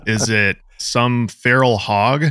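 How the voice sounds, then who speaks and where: A man's voice: mid-range voice, steady tone, slow, bright, forceful tone, smooth, dry recording, studio quality. A man co-hosting a podcast who jokes and asks questions casually. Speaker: podcast co-host.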